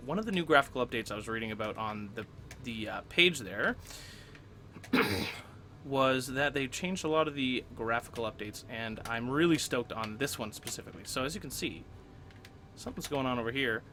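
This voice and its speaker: Young guy with a cold, clearing his throat a lot, chatty game commentary, bright voice, faint hiss behind. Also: mid-range voice. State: getting over a cold, coughing. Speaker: let's play host. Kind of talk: casual gameplay commentary.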